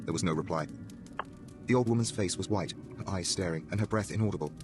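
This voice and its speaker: Casting: male storyteller, very low, brisk, dramatic, with music clearly underneath. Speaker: narrator. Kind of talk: dramatic scripted horror narration.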